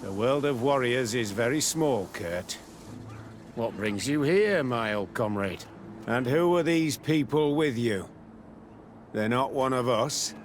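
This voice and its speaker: An actor playing a guarded, commanding military captain in a video game, speaking in a measured, curt and authoritative way. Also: male voice, mid-range voice, animated, a little hiss or hum. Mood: wary familiarity. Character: guarded, commanding male captain running a secret military camp. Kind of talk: video game dialogue acting.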